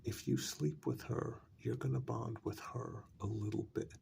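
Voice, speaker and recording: Give the part to a calm, coldly persuasive male vampire acted by a man in an intimate ASMR role-play. His soft, breathy voice is full of heavy creak. He speaks very slowly and deliberately, laying out each point with pauses, over faint background noise. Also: medium pitch. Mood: explaining, grave. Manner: slow, deliberate, pausing between phrases.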